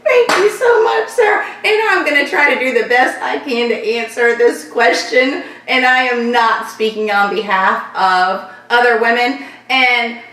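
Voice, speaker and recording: A woman from Florida with a light Southern accent, hosting a casual viewer Q&A vlog and rambling warmly through her answers. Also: a little high, recorded from a distance. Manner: chatty, repeats thanks, loose rambling sentences. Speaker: channel host answering viewer questions. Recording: echoey room, voice a bit off-mic.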